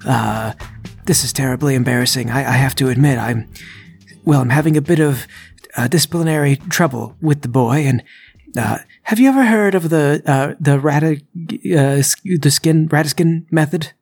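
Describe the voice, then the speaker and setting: A man voicing a nervous burglar in a tabletop game, halting and stammering as he bluffs and over-explains. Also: fairly high pitch, creaky, a little mellow, faint music behind, pitched above the performer's own voice. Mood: flustered, embarrassed.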